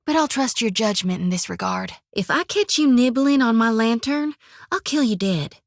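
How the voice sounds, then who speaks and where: A woman's voice: a little high, expressive, moderate pace, vocal fry, no room sound. An actress voicing a devout young woman priest in a fantasy game, talking in an earnest, folksy way. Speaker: companion character in a fantasy game. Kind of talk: video game voice acting. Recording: dry studio.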